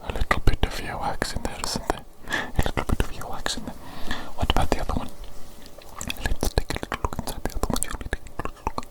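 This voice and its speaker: A woman whispering softly into a close microphone, repeating each phrase and gently teasing as an ASMR doctor roleplay. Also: fast, poor audio. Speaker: ASMR creator. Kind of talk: ASMR roleplay of a medical exam.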